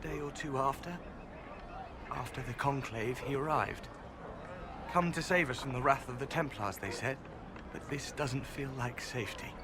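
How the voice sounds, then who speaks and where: A man's voice: mid-range voice, moderate pace, close to an everyday voice. A man playing an earnest, aggrieved young elven rebel mage in a fantasy game. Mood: uneasy. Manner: earnest, indignant. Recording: noisy ambience behind the voice.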